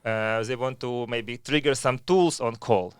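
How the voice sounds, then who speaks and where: Man, calm explainer; clean dry recording. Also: mid-range voice, clear, resonant tone, dry recording. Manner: measured, explanatory, non-native phrasing. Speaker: telecom expert guest. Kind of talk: conversational podcast discussion.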